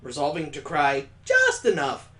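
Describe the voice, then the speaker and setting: A man with a slightly high voice reads a story game's text aloud in a mock-solemn tone. He keeps interrupting himself with dry, sarcastic jokes about what he's reading. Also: wildly swooping pitch, a little slow, sharp tone. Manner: wry, mocking asides between dramatic readings. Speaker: let's play host.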